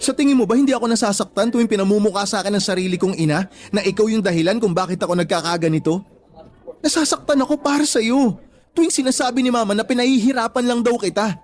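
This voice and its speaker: Actor for troubled young boyfriend in a radio romance; higher male voice, earnest, apologetic, full of self-doubt. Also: fast talker, close to an everyday voice. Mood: pained, apologetic. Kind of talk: Tagalog radio drama acting.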